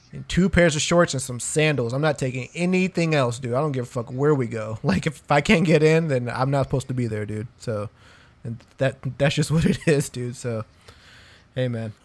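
A man in a casual chat among friends, relaxed and profane, saying "dude" often and stumbling over restarts. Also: medium pitch, moderate pace. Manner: laid-back, swears a lot, says "dude" constantly; stammers and restarts phrases. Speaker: podcast co-host.

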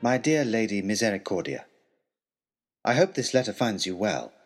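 A man's voice: fairly low pitch, big pitch swings, moderate pace, faint music behind. An actor playing a put-upon, wry male tutor, reading his pompous, wordy, aggrieved letter aloud in a comic audio story. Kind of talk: scripted comic narration as a letter read aloud.

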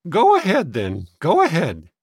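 A man voicing a sour, scornful old maiden sister in an audiobook, with a high, cracked voice. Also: wildly swooping pitch, normal speed, no echo, clean studio sound, full character voice. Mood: grudging, scolding. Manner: sniffing, scornful, scolding. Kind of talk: audiobook character voice.

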